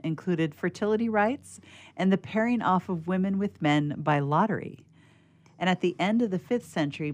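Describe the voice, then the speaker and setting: A woman's voice: deep voice, darkish tone. A woman radio theatre player reading an informational history note aloud from a script.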